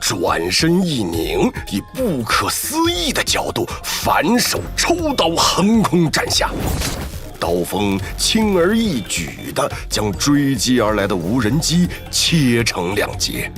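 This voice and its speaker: A man delivering scripted dramatic narration of an animated story. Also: medium pitch, slow pace, over music.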